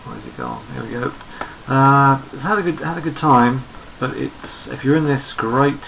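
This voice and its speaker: A hoarse man who is losing his voice, talking through market charts in an unhurried, think-aloud way and often repeating words as he goes. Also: mid-range voice, very animated, a bit bright, a little hiss or hum, rough recording. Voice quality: gravelly. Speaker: trading analyst. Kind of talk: screen-recorded market chart commentary.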